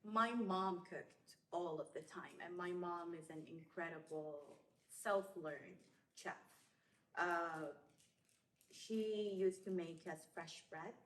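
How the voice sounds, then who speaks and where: A woman in her twenties from Somalia tells her stories in a relaxed, meandering way, full of "like" and "um". Her voice sits a little low and rings in a roomy, echoey space. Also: slow. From Somalia, raised in Saudi Arabia. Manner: relaxed storytelling, many fillers and likes. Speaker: podcast guest. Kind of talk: casual interview chat.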